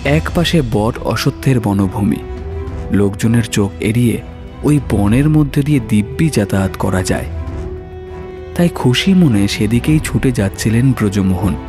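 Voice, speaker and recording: Male horror narrator, lowish voice, suspenseful delivery with dramatic pauses, faint music underneath. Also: animated. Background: soft music.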